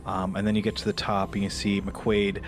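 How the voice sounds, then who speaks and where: A man's voice: on the low side, completely flat delivery, a little fast. A man running a tabletop roleplaying game, describing scenes vividly and slipping into offhand rules talk. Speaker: game master. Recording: music behind the voice. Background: background music.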